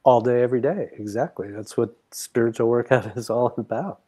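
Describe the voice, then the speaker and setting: A man talks as a coach in a one-to-one conversation. His voice is mellow and dark, with a marked huskiness at a middling pitch. He phrases things deliberately and in a measured way. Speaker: spiritual coach.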